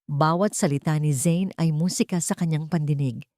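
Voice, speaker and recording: Woman reading a dramatic audiobook, mellow relaxed voice, measured phrasing, really dry close sound. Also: low-pitched, no room sound. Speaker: audiobook narrator. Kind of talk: scripted dramatic story narration.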